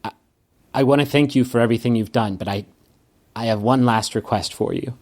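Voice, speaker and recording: A man voicing a weary, regretful woman thief in a tabletop game, speaking gravely and haltingly. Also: medium pitch, a little mellow, close to an everyday voice. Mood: solemn pleading. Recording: music under the voice.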